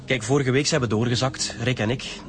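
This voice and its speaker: An actor playing a smooth-talking advertising boss questioned by police in a radio drama, with a Flemish accent. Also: male voice, medium pitch, steady tone, fairly quick, natural voice. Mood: chatty, explaining. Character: smooth advertising boss pleading innocence to detectives. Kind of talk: naturalistic radio drama acting.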